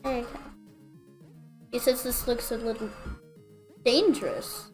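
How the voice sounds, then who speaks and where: A woman's voice: on the high side, takes it slowly, darkish tone, faint music behind, low-quality recording. A woman streaming a platform game, chatting casually with giggly, playful, defensive banter. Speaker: gaming streamer. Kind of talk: casual gaming commentary.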